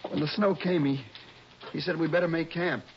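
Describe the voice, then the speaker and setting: An actor playing a shaken, grieving young city man in a 1960s radio drama, speaking in a halting, gravelly voice. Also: on the high side, fairly even intonation, natural voice. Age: young adult. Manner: halting, faltering. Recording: muffled, noisy old radio recording.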